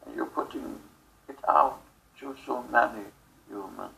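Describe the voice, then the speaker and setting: Male channeler, very slow and halting, fry-laden delivery, spiritual message. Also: medium pitch, pushed and bright, a little hiss or hum, low-quality recording. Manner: halting, fragmented phrases with long pauses.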